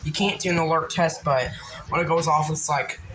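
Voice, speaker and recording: A man's voice: a little high, steady tone, normal speed, noisy background. A man hosting an unscripted, casual show-and-tell, rambling along and often trailing off into vague asides. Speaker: video host showing a personal collection.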